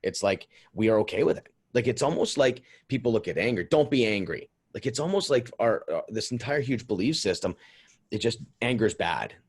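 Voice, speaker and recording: A man hosting an interview in a casual, informal and halting conversational manner. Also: on the low side, lively intonation, breathy edge. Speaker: podcast host, interviewer.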